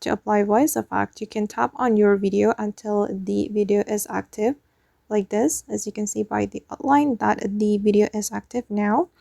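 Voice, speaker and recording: A woman hosting a tutorial, narrating step by step with hesitant, drawn-out pauses. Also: mid-range voice, steady tone, vocal fry, warm tone, dry recording, clean studio sound. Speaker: tutorial host.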